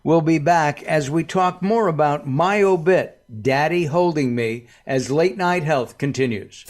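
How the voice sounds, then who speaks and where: A man's voice: fairly high pitch, a little slow, faint music behind. A man hosting a talk radio show, chatty and upbeat, often rambling.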